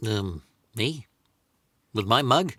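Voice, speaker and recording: A man voicing an awkward, laid-back teenage boy in a comic table read, halting and stammering nervously. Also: lowish voice, lively intonation, sharp tone, dry recording, heavily acted. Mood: flustered, nervous. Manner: halting, stammering, full of hesitations.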